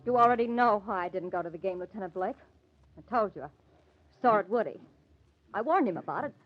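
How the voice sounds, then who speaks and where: A woman's voice: mid-range voice, lively intonation, a little fast, natural voice. A woman acting a defensive, jittery suspect in an old-time radio crime drama, protesting as she talks. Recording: dull vintage radio recording.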